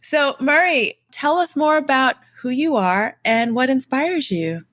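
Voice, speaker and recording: A woman hosting an interview podcast, bubbly and animated, who laughs easily with her guest. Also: sits high, measured pace. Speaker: podcast host. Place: New York.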